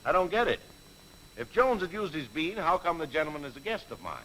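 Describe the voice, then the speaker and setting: A man's voice: fairly high pitch, sing-song, fairly quick, a bit bright, poor audio. A man playing a slangy, sceptical gatekeeper of dead pilots in a 1940s training film, wisecracking and emphatic. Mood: puzzled. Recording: old film soundtrack, background noise.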